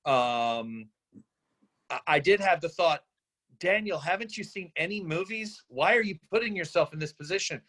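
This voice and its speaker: A man giving deliberate, analytical feedback on a script in a group discussion. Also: fairly high pitch, lively intonation, fairly bright tone. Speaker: readers theatre workshop participant.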